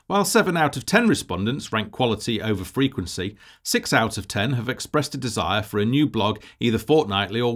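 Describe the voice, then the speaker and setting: A man narrating a scripted presentation as a project lead, in a measured, formal, businesslike way. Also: on the low side, fairly bright tone.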